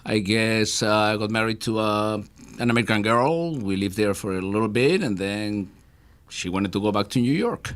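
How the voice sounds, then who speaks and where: A singer-songwriter from Argentina with an Argentine accent, chatting easily and joking as a guest in a radio studio. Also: male voice, fairly low pitch, moderate pace, clean tone. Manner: relaxed, jokey storytelling. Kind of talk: live studio performance and chat.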